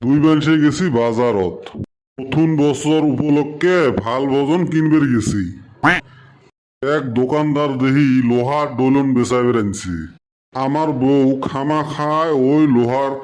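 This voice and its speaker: A man's voice: medium pitch, a little slow, a little mellow, lightly acted. A man voicing a henpecked, aching, complaining village father in a comic cartoon, with a western Assam Goalpara accent. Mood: aggrieved, sheepish. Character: henpecked, aching village father, whining about his wife. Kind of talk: comic dialect cartoon dialogue.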